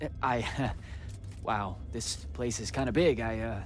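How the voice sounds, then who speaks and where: A man's voice: mid-range voice, slow pace, slight background noise, lightly acted. An actor playing a cocky young male battlemage recruit in a video game, casual and sarcastic with a hesitant streak. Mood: awkward, flippant. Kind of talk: naturalistic video game acting.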